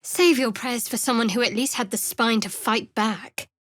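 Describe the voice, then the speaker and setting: A woman's voice: fairly high pitch, normal speed, a bit bright, slight background noise, lightly acted. An actress voicing a guarded, sardonic young female cleric adventurer in a fantasy video game, with a dry, secretive manner. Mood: wry, guarded.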